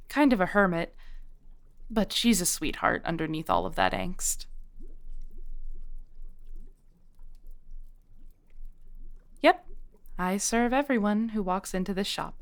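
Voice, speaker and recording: A woman playing a kindly modern witch shopkeeper, patient, wry and reassuring, in an intimate scripted audio roleplay, speaking gently as she explains. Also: mid-range voice. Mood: wry, warm.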